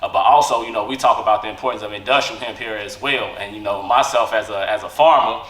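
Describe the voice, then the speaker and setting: A man's voice: on the low side, fast, a bit bright. A man hosting a podcast in an earnest, casual way, filling gaps with "you know" and "uh". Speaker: podcast host and farmer. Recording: echoey room, far from mic.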